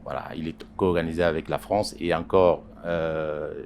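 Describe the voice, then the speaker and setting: A man, a journalist, answering interview questions in a halting way with many pauses and repeated words. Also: rumbling low, flat delivery, a little mellow, a little hiss or hum. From Congo Basin. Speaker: journalist and editorial writer.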